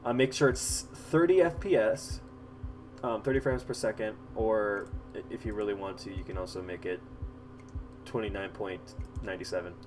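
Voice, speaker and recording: A man's voice: fairly low pitch, darkish tone. Casual man giving a tutorial over music. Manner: informal, halting step-by-step explaining. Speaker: tutorial host. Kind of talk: casual screen-recorded software tutorial.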